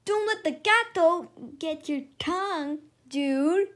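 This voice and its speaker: A woman's voice: very high voice, slow, fairly bright tone, voice pushed up, full character voice. A woman voicing the bossy leader of a pack of small male chihuahuas, with a mock Spanish accent and an emphatic, commanding manner. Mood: teasing.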